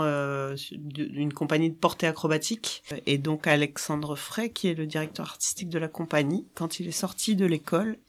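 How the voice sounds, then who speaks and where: A woman, dramaturge of a circus company, explaining their work thoughtfully in an interview, often searching for words. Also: low-pitched.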